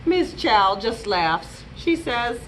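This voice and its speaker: A woman's voice: on the high side, sing-song, slow pace, fairly bright tone, a little hiss or hum, poor audio. A woman hosting a storytime, talking playfully and animatedly to young children as a children's librarian would. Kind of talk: children's storytime read-aloud and chat.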